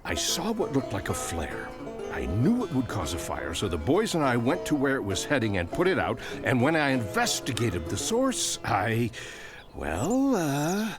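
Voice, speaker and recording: Wildly animated, bumbling fire chief. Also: male voice, medium pitch, measured pace, over music. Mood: flustered. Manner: bumbling, hesitant, chatty. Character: awkward, rambling, good-natured fire chief, adult man. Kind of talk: audio adventure drama acting.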